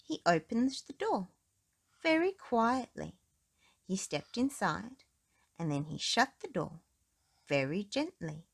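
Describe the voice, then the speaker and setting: Animated, slow-paced woman storyteller. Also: mid-range voice, breathy edge. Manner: expressive, deliberate storytelling. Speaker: storybook reader. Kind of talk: children's story narration.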